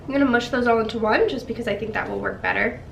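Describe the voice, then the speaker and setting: A woman's voice: on the high side, quick pace, creaky, distant mic, slight background noise. Stuffy-nosed young woman vlogging in echoey room. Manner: chatty, frequent ums and likes. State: stuffy from a cold. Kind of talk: casual chat to camera.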